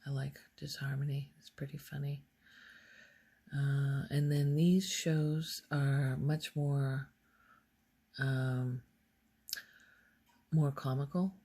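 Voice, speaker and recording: Soft spoken asmr lady, kinda low creaky fry, takes her time, hesitant rambly thinking out loud. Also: flat delivery, slow pace, pauses often. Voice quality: soft, breathy, often slipping toward a whisper. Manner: hesitant, musing, thinking aloud. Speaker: ASMR video creator.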